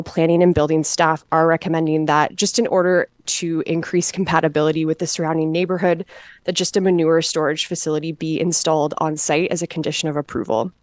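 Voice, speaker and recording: A woman's voice: on the low side, no echo. A woman giving a formal, plain-spoken staff presentation as a municipal planner at a council meeting. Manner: measured, procedural, plain-spoken.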